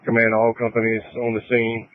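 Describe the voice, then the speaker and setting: A man's voice: medium pitch, completely flat delivery, brisk, no echo. A man giving terse emergency traffic as a responder over a two-way radio. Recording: narrowband fire dispatch radio audio.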